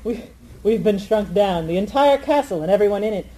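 A woman playing a brisk, brainy young inventor in comic radio theatre, matter-of-fact and often exasperated. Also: medium pitch, animated, noisy background, close to an everyday voice. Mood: brisk, analytical.

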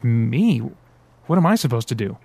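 A man's voice: mid-range voice, sing-song, moderate pace, a little mellow, breathy edge, dry recording. A man voicing a frightened young man who pushes back with shaky courage in an audiobook. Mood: anxious. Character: frightened young man, defiant under pressure.

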